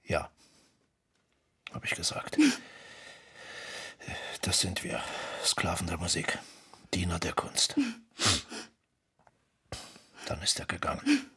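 An actor playing a proud, exacting baroque musician in a German radio drama, husky and creaky, with formal old-fashioned phrasing. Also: male voice, deep voice, big pitch swings, slow pace, long pauses, sharp tone, whispered, heavily acted. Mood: hushed, brooding. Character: proud, exacting baroque musician, defensive and devoted to his art.

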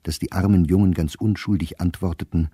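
Weary middle-aged diarist, very low husky voice, measured, sardonic, literary radio play. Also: male voice, fairly even intonation, mellow, no echo, studio quality. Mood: dry, sardonic. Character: educated middle-aged male diarist, bitter, weary, reflective.

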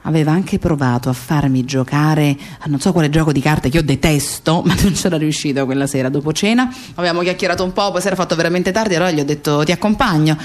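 A woman's voice: sits low, quick pace, few pauses, darkish tone, natural voice. An actress playing a woman who remembers her murdered friend in an intimate, conversational radio theatre monologue with small hesitations. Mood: fond reminiscence.

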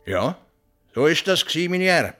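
Rustic old Swiss German farmer. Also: male voice, on the high side, sing-song, normal speed, music in the background. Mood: chatty, satisfied. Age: older. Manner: dialect-tinged, rustic, plain. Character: plain-spoken old Swiss farmer, widowed, stubborn and chatty. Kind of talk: radio play.

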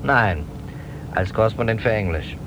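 An actor in a German radio play playing a dogged police detective, speaking deliberately and broodingly with pauses over key words. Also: male voice, lively intonation, lightly acted. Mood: matter-of-fact. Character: dogged, methodical male police detective on a case. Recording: noisy, rumbling street and vehicle background.

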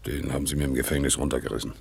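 An actor playing a broken old ex-convict, humble and bitter, talking haltingly in a radio play. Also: male voice, very deep voice, steady tone, darkish tone, dry recording, exaggerated voice. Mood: wistful, resigned. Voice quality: gravelly, husky. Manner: halting, slow, musing. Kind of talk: 1960s German radio play acting.

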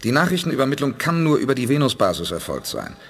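An actor playing a calm, decisive male spaceship commander who reasons things through in a measured way, in a German radio play. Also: medium pitch, creaky, full character voice. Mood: steady. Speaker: lead character.

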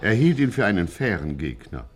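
An actor playing a grave chronicler, narrating a 1950s satirical crime radio play in mock-serious style. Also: male voice, lowish voice, big pitch swings, brisk, soft background music. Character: grave male chronicler of gangland events.

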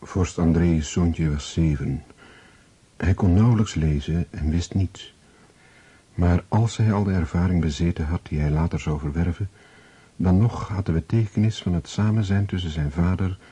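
A man narrating a literary radio drama in a measured storytelling manner. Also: low voice, mellow, husky, no echo.

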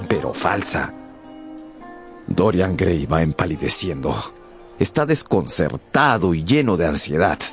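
A male narrator tells a dramatic story for radio. His voice is very deep and moves expressively up and down. Music plays clearly behind him. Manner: theatrical, deliberate storytelling.

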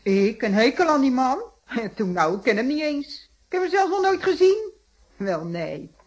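An indignant working-class wife in a radio crime drama. Her high, husky voice swings widely as she speaks blunt, scornful, colloquial Netherlands Dutch. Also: sing-song, unhurried, a little mellow, heavily acted. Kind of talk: Dutch radio detective play acting.

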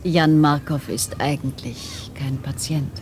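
An actress playing a self-assured, probing neurologist in a radio drama, articulate and wry. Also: female voice, sits low, fairly even intonation, slow pace, darkish tone, slight background noise. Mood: explanatory, earnest. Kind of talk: 1990s German radio drama acting.

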